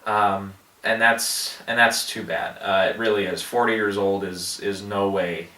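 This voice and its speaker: A man's voice: lowish voice, steady tone, sharp tone, far from the mic. Man with a head cold, echoey. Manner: halting, many fillers and restarts. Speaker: movie box office vlogger. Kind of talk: casual rambling commentary. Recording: echoey room, voice off-mic.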